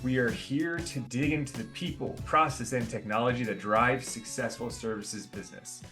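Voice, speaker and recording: Male podcast host, mid pitch, upbeat intros, conversational. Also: normal speed, bright, forceful tone, faint music behind. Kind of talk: podcast hosting.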